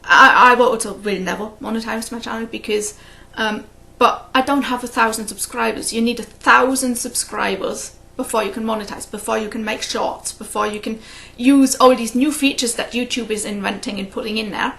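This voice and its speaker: A woman's voice: a little high, steady tone, normal speed, vocal fry, sharp tone. A woman hosting a cross-stitch video, chatting casually and rambling, often laughing at her own stories. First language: English. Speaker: flosstube host. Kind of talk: casual chat to camera.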